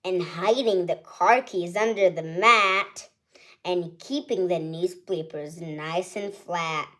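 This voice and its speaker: Woman reading a picture book to kids, cheerful and chatty, unhurried, singsong rhythm. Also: on the low side, a bit bright. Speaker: children's book reader, channel host.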